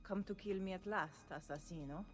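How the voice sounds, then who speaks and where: A woman's voice: medium pitch, normal speed, close to an everyday voice. A woman voicing a haughty, paranoid noblewoman in a video game, imperious and theatrical. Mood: disdainful. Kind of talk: video game cutscene acting. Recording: music behind the voice.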